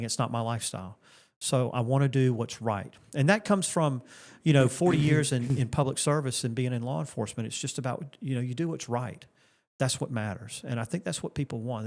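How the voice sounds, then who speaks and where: A man's voice: mid-range voice, a little fast, a little mellow. A man of fifty-nine from Florida, running for Congress, answers interview questions earnestly and often repeats himself and restarts his sentences. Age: 50s. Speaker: congressional candidate.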